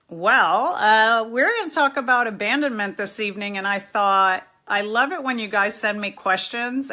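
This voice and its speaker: A woman's voice: medium pitch, pushed and bright. A middle-aged woman, a therapist from New York and Miami, hosting a casual live stream, chatty and poking fun at herself while greeting viewers by name.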